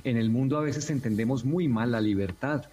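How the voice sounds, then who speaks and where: A man's voice: mid-range voice, fairly quick, dark tone, breathy edge. A man with a Colombian accent, a missionary and lecturer, answering politely and explaining things as a radio interview guest. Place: remote connection from Lima.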